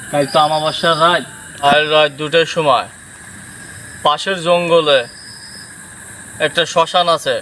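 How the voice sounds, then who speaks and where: A man's voice: on the high side, pushed and bright. A man voicing a stern uncle who cautions and commands in a horror story. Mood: challenging. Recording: clear background noise under the voice.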